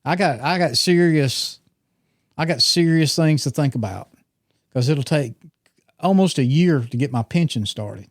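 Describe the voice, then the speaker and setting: A man's voice: a little high, expressive, a little slow, no room sound, clean studio sound. A man around sixty, co-hosting a hunting podcast in casual, rambling, teasing chat. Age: 60s. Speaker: podcast co-host.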